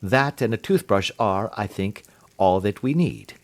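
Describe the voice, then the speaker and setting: A man voicing a calm, amused detective who speaks quietly and imperturbably. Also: on the low side, unhurried. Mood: explaining.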